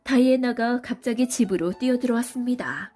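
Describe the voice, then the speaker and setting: A woman's voice: a little high, fairly quick, warm tone, soft background music. A woman reading scripted narration for a children's story as a storybook narrator.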